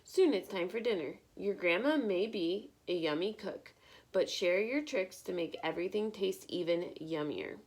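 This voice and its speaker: Gravelly-edged woman reading to children. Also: fairly low pitch, moderate pace, rough recording. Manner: expressive, encouraging, teacherly. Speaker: teacher reading a picture book aloud. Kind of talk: children's read-aloud with questions to listeners.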